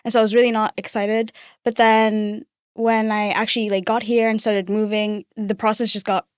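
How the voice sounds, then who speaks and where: A woman's voice: mid-range voice, flat delivery, moderate pace, clear, resonant tone, dry recording, clean studio sound. A young woman who grew up in Ghana, a university student guest, talking casually with giggly enthusiasm. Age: young adult. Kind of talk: casual chat.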